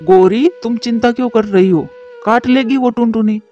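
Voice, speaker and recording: A man's voice: very high-pitched, normal speed, warm tone. A man voicing a lazy, scheming crow husband in a children's cartoon. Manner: scheming, work-shy. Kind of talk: Hindi children's cartoon voice acting. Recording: dull lo-fi audio with music behind.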